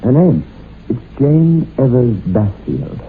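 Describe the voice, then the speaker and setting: A man's voice: mid-range voice, sing-song, slow. A man playing an earnest, insistent young husband in an old radio horror drama, theatrical and emphatic. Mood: affable, affectionate. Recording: tinny, noisy old radio recording.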